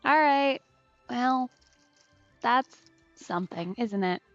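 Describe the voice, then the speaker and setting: A woman's voice: on the high side, animated, takes it slowly. A woman gaming streamer giving casual, wry, amused commentary as she plays. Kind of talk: casual Let's Play commentary. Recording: slightly dull mic with game music. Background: game music.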